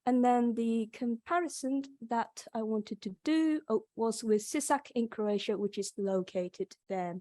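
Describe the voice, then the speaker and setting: A woman's voice: on the high side, a little slow, few pauses, warm tone, dry recording. A young woman with a Yorkshire accent, a PhD student giving an academic conference presentation, with frequent ums and restarts. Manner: hesitant, many ums and restarts.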